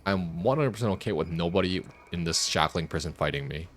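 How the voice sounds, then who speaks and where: A man's voice: deep voice, a little fast. A man streaming a game with casual, joking commentary, sometimes crude, talking to himself and reading text aloud. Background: video game music and dialogue.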